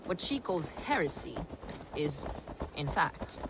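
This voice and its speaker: An actress playing a devout, scholarly heretic who speaks in an earnest, formal, preaching way in a game. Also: female voice, low voice, big pitch swings, slow pace, heavy background noise, heavily acted. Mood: earnest, reverent. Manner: earnest, didactic, formal phrasing. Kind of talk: dramatic video game acting.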